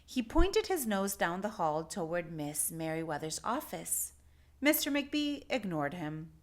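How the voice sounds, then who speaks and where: A woman narrating a picture book aloud for children, with deliberate, expressive phrasing. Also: on the low side. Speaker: children's story reader.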